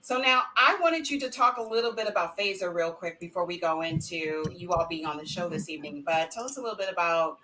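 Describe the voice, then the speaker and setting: A woman's voice: on the low side, fast, hardly any pauses, crowd noise, poor audio. A woman hosting a casual interview, chatty and affirming as she prompts her guests. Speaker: host.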